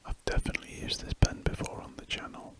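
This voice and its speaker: ASMR whisperer, soft and rambling. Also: fast. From United Kingdom. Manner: soft rambling whisper with frequent restarts and self-corrections. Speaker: ASMR artist. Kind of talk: whispered ASMR chat while drawing.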